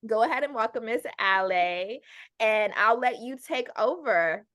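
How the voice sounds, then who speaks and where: A woman's voice: fairly high pitch, lively intonation, measured pace, a bit bright, dry recording, clean studio sound. A woman hosting a conference, introducing a speaker in an encouraging, direct way. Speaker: conference organizer and host.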